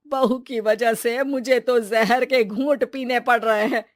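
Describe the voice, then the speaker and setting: A woman's voice: a little high, steady tone, measured pace, no room sound, close to an everyday voice. Female actor as indignant older cartoon mother-in-law, scolding, theatrical, dry studio sound. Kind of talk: animated family comedy acting.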